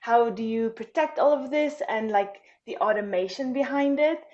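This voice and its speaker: A woman's voice: a little high, moderate pace, a little mellow. A woman from South Africa with a South African accent, introducing herself upbeat as an event speaker on a video call. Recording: dull lo-fi call audio.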